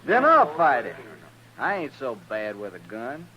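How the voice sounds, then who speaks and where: An actor playing a rowdy, goading frontier cowhand with a rural western American drawl, folksy and taunting, in old-time radio style. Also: male voice, medium pitch, wildly swooping pitch, unhurried, lo-fi sound, crowd noise. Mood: defiant. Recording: noisy, humming vintage radio recording.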